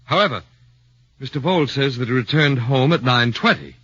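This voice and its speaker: An actor playing a shrewd middle-aged defense lawyer who questions in a measured, probing way, in 1940s radio acting. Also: male voice, mid-range voice, expressive, fairly bright tone. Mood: earnest. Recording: dull 1940s radio broadcast.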